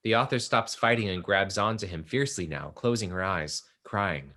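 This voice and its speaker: Very deep-voiced male stage narrator. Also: normal speed, bright, forceful tone. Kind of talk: scripted narration of a play.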